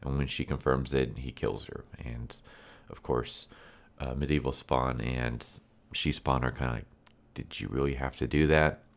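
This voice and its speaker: A man's voice: extremely low pitch, steady tone, moderate pace, creaky. A man reviewing a comic book in a casual, rambling way, his voice congested and stuffy from a recent illness. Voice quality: nasal, stuffy. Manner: rambling, full of fillers and restarts.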